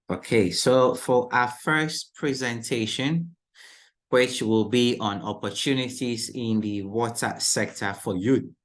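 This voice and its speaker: A man moderating a panel session, slowly coaxing the audience to speak and introducing the first speaker. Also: on the low side. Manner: slow, coaxing, repeats appeals to the audience.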